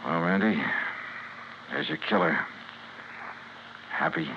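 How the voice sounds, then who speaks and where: An actor playing a weary, sardonic insurance investigator in a 1950s radio drama. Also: male voice, deep voice, slow. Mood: weary, somber. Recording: dull, noisy vintage radio recording.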